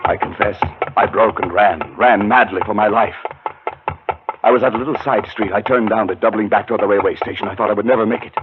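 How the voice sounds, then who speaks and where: Deep-voiced haunted man played by an actor, old radio. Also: close to an everyday voice. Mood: mounting terror. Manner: dramatic, deliberate, halting when anxious. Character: haunted, frightened male scholar recounting his terror. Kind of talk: 1940s radio acting, first-person suspense narration. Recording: muffled 1940s radio broadcast with music.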